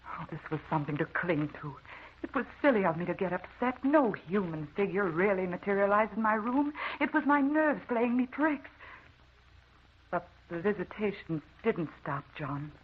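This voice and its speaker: Anxious haunted wife, muffled old radio. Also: female voice, mid-range voice, very animated, moderate pace, breathy edge. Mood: haunted, brooding. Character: anxious, haunted wife recounting supernatural terrors. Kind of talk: old-time radio drama acting.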